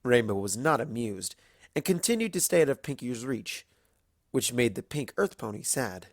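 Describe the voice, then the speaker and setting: A man's voice: mid-range voice, big pitch swings, normal speed, dry recording, clean studio sound. A man reading a scripted story aloud as its narrator.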